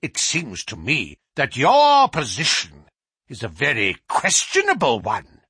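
A man voicing an imperious, malicious old professor with sharp, sneering questions in a storybook reading. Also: wildly swooping pitch, a little slow, slightly rough, no room sound, studio quality, exaggerated voice. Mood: sharp, accusing. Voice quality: high, screaming when roused. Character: malicious old male professor, imperious, erupting into screaming rage. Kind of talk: dramatised audiobook reading.

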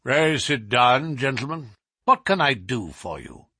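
Imperious old professor, forceful, high, wildly swooping, malicious, sneering, storybook villain. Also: male voice, big pitch swings, measured pace, husky, no room sound, studio quality, full character voice. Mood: cold, suspicious. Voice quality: high, screaming when roused. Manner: pointed, sneering questioning. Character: malicious old male professor, imperious, erupting into screaming rage. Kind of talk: dramatised audiobook reading.

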